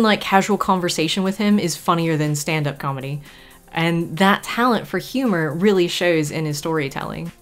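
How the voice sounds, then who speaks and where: A woman hosting a book preview channel, reading a scripted voice-over. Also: on the low side.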